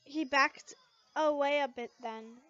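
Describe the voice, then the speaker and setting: High, bright-voiced woman reading a story. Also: slow, dull audio. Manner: stumbling over words, rereading. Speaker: fan fiction reader. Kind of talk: expressive amateur story narration.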